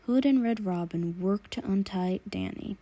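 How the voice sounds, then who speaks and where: A woman's voice: medium pitch, moderate pace, warm tone. A woman reading fan fiction aloud as scripted audiobook narration.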